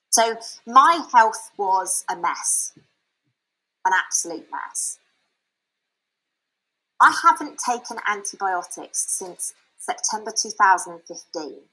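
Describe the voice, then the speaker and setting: A woman giving a frank, chatty personal testimony that turns into a sales pitch, with many fillers and restarts. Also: big pitch swings, takes it slowly, pauses often, pushed and bright, breathy edge, poor audio. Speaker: speaker sharing a product testimonial.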